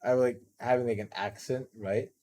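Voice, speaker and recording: A man's voice: medium pitch, fairly even intonation, moderate pace, warm tone. Young man rambling in casual chat. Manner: rambling, frequent restarts and fillers. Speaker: podcast co-host, student.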